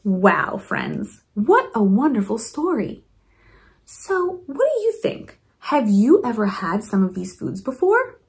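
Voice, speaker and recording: Lady reading a kids storybook, super animated up and down voice, kinda high, chats to the kids. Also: slow, vocal fry. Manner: expressive, chatty asides to young listeners. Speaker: principal reading a storybook aloud to children. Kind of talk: animated children's read-aloud.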